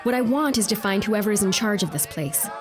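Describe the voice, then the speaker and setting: A woman's voice: medium pitch, expressive, brisk, over music, natural voice. An actress playing a headstrong young noblewoman in an audio drama, speaking formally and firmly. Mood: insistent, firm. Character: headstrong young noblewoman, determined traveling wife.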